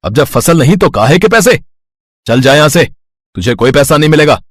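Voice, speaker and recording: A man's voice: on the high side, sing-song, a little fast, fairly bright tone, no echo, studio quality. Man acting a haughty, stingy village landlord. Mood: angry. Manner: scolding, domineering. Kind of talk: dramatised moral story acting.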